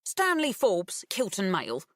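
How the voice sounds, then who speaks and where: A woman voicing an insistent male local reporter in an audiobook, formal and forceful. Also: medium pitch, wildly swooping pitch, takes it slowly, no room sound, clean studio sound, pitched above the performer's own voice. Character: insistent local newspaperman challenging the police.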